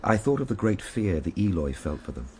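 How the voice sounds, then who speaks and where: An actor playing a reflective Victorian gentleman recounting his ordeal, in measured, formal radio drama narration. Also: deep voice, very animated, a little hiss or hum. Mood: grave, reflective.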